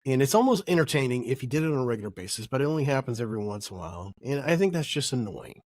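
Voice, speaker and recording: A middle-aged podcast host sounds stuffy and nasal from a cold. He muses aloud in a loose, wandering way, doubling back on his words. His voice sits at an ordinary pitch in a dry, close recording. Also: sing-song, rapid-fire, a little mellow, no room sound. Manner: reflective, rambling, self-correcting. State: has a cold. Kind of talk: casual podcast monologue.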